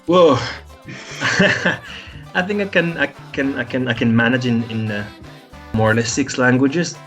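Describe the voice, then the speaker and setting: A man from Morocco, an Arabic speaker, answering interview questions in a halting, laughing way. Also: medium pitch, a bit bright. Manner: laughs often, halting, repeats words. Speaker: interview guest, salsa dancer. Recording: faint music behind.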